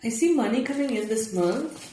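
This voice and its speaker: A young woman hosting her own tarot channel, her voice raspy and hoarse from just waking up, chatting casually with fillers and soft laughs. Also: a little high, expressive, moderate pace. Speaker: tarot reader, channel host. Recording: echoey room, faint noise.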